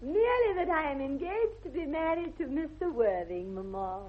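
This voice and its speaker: A self-assured young English society lady in a radio comedy. Her voice is high and very animated, with elegant upper-class affectation. The old recording sounds thin and boxy. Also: moderate pace. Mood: poised, romantic. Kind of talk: 1960s radio comedy acting. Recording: tinny, band-limited vintage radio recording.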